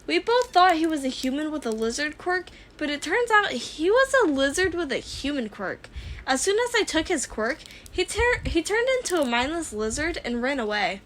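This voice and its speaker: Woman as an earnest, exasperated teenage boy, high-pitched. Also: big pitch swings, normal speed, fairly bright tone. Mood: sheepish, urgent. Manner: eager, exclaiming, exasperated.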